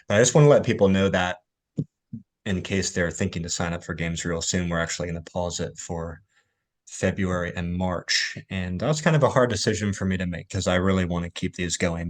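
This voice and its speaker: A man with a low voice hosts an online language game. He talks in a relaxed, chatty way, often pausing on fillers. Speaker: host and game master. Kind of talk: casual online chat.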